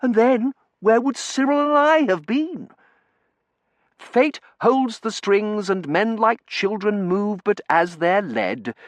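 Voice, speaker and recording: A man voicing a cheerful, chattering young gentleman who talks in an eager, breathless patter and quotes verse theatrically. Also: high voice, lively intonation, slow, no echo, pitched above the performer's own voice. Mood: chattering eagerly. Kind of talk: audiobook character voice.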